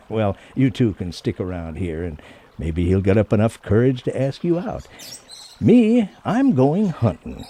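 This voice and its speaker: A man's voice: lowish voice, big pitch swings, measured pace, dark tone. An actor playing an easygoing, teasing sidekick to a policeman in a radio play, with an informal delivery. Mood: teasing, playful.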